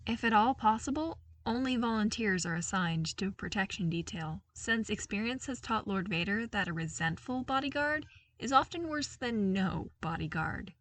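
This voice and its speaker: A woman's voice: medium pitch, expressive, moderate pace, a bit bright, far from the mic, pitched above the performer's own voice. A woman voicing a dutiful, matter-of-fact male soldier in a story, formal and earnest. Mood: matter-of-fact, fond.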